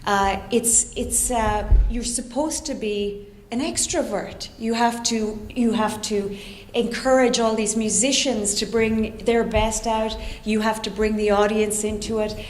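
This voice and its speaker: A woman's voice: on the high side, steady tone, unhurried. Irish woman speaking in an echoey room. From Ireland. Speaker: guest speaker, orchestra conductor and composer. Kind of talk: personal storytelling to a live audience. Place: indoor conference room before a live audience. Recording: echoey room with faint background noise.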